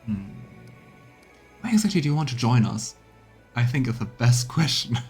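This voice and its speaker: A man's voice: medium pitch, sing-song, moderate pace, a little mellow, breathy edge, faint music behind. A man streaming a game, reading story text aloud and adding casual asides. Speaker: streamer narrating a let's play.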